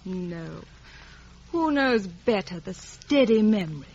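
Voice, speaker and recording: A woman's voice: medium pitch, lively intonation, slow, darkish tone. An actress playing a cold, proud young woman, speaking formally and declaiming in 1950s radio drama style. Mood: cold, defiant. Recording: dull vintage radio recording.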